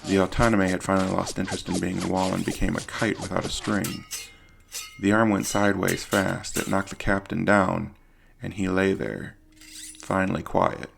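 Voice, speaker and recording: A man with a deep, husky, gravelly voice narrating an audio drama in a grave, literary storytelling manner. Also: level tone, normal speed, over music.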